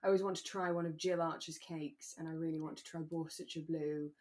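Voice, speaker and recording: A woman's voice: deep voice, fairly even intonation, brisk, a little mellow. Chatty British woman podcast co-host. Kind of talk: relaxed casual chat about a radio drama.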